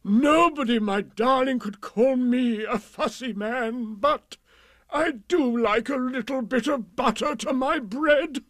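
A man's voice: very high voice, slow, fairly bright tone, dry recording, pitched above the performer's own voice, exaggerated voice. A man voicing a fussy, childish king with plaintive, theatrical delivery in a rhyming storybook. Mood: delighted, fussy.

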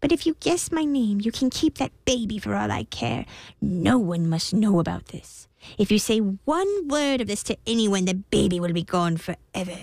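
A woman voicing a gloating, impatient little male imp in a children's fairy tale, with a taunting, drawn-out delivery. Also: medium pitch, sing-song, a little mellow, dry recording. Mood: smug, threatening.

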